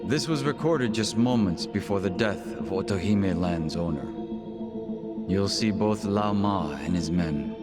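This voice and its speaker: Composed underworld broker, lowish pitch, polite menace, deliberate pace, game dub, loud score. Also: male voice, over loud music. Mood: composed, guiding. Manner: measured, polite, businesslike. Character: calm, smug male underworld intelligence boss, courteous but menacing. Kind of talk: English video game dub acting.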